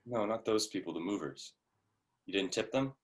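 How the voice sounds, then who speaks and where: Casting: male actor as young secret lover, deep voice, tender yet impatient, natural intimate dialogue. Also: animated, moderate pace, natural voice. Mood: affectionate, casual. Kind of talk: staged play reading, conversational.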